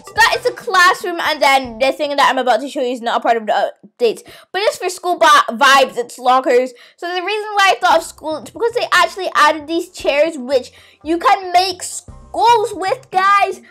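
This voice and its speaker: A woman's voice: high-pitched, expressive, moderate pace, pushed and bright. A young girl hosting a casual, playful game tour, chatting to viewers in an exclamatory way over background music. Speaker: gaming video host.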